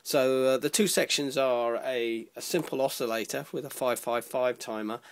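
A man presenting an informal electronics tutorial, explaining step by step in a halting way, pausing mid-sentence. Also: medium pitch, steady tone.

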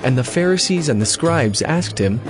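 A man narrating scripture from a script in a measured, formal manner. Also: fairly high pitch, lively intonation, over music.

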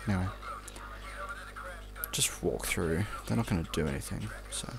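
A man's voice: deep voice, animated, moderate pace, gravelly, music in the background. A young Australian man giving casual, off-the-cuff video game commentary, rambling in an offhand mutter and swearing now and then. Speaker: let's play commentator.

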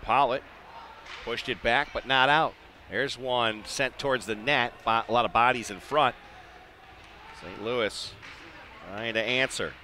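A man's voice: mid-range voice, unhurried, pauses often. Male hockey play-by-play man over rink noise. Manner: excitable on big plays, clipped phrases. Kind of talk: live radio-style ice hockey play-by-play commentary. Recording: live arena broadcast with background noise.

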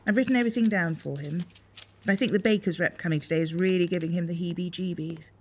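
A woman acting in a radio soap as a brisk, doubting wife who runs a village post office. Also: on the low side, husky. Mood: brisk worry.

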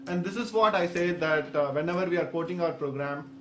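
A man's voice: on the high side, fairly quick, noisy background. A man in his thirties with an Indian accent, a native Saurashtra speaker, giving a technical conference talk with hesitant explanations and many fillers. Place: medium indoor conference room. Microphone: stand microphone at the podium.

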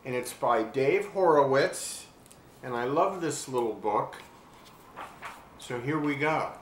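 An older man reading a children's storybook aloud expressively and deliberately, pausing to describe things. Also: mid-range voice, animated. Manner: deliberate, with pauses to describe the pictures. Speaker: children's book reader. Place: small furnished room at home. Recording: roomy webcam sound with faint hiss.

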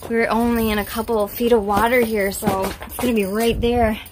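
A woman hosting a casual vlog, chatting and exclaiming excitably into a handheld camera inside an ice fishing tent. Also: fairly high pitch, brisk, noisy background. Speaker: vlog host. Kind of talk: casual excited chat while filming. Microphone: handheld camera or phone.

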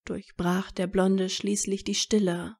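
A woman's voice: medium pitch, little pitch movement, slow, darkish tone, breathy edge. A woman narrating a scripted fan fiction story as a storyteller.

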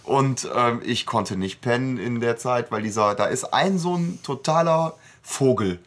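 A man's voice: medium pitch, measured pace, a bit bright. A man co-hosting a podcast, chatting casually and colloquially as he retells and discusses a story. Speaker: podcast co-host. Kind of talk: casual discussion of an audio drama.

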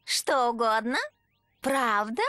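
A woman's voice: fairly high pitch, steady tone, slow, pushed and bright, no room sound. A woman voicing a smug, bratty teenage schoolgirl inventor in a game dub, talking in a sneering, mocking way. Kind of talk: Russian game dub acting.

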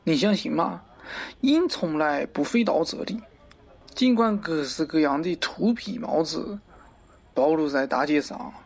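A man's voice: fairly high pitch, expressive, a little slow, creaky, slightly rough, full character voice. A man playing a pompous middle-aged wholesale market director in a parody radio drama, avuncular and lecturing, crude yet fond of poetry. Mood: grandly reciting. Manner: avuncular, lecturing, theatrical, abruptly swearing.

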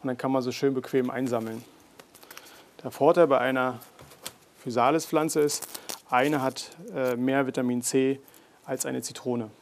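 Young chef explaining over a sizzling pan. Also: male voice, mid-range voice. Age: young adult. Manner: relaxed, plain explaining with frequent filler pauses. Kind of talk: cooking demonstration. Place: garden, greenhouse and restaurant kitchen. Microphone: lapel. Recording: sizzling kitchen noise behind. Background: kitchen noise and sizzling pan.

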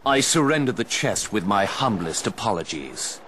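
An actor playing a coldly calculating, mocking hooded villain in a video game, grandiose and deliberate with false politeness. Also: male voice, sing-song, fairly bright tone. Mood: mock-polite. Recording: noisy game capture with rumbling ambience.

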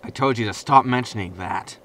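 An actor playing a grumpy, indignant disgraced spaceship captain in a sci-fi comedy audio drama, peevish and sarcastic. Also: male voice, mid-range voice, brisk, heavy background noise, lightly acted. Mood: irritated.

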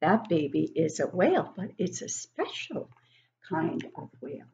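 A woman in her sixties reading a picture book aloud to young children, expressive and encouraging, stopping to put questions to them. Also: lowish voice, unhurried, creaky, highs cut off, slight background noise. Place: living room at home, indoors.

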